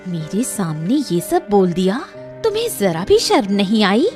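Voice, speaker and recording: A woman playing a determined young village woman in a Hindi animated moral story. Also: medium pitch, normal speed, soft background music. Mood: angry, scolding.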